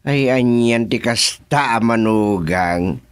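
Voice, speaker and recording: A man's voice: mid-range voice, takes it slowly, clean tone, no room sound, close to an everyday voice. A man playing a bemused, joking village chairman in a comedic radio drama, drawling and reacting with interjections. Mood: chiding, teasing.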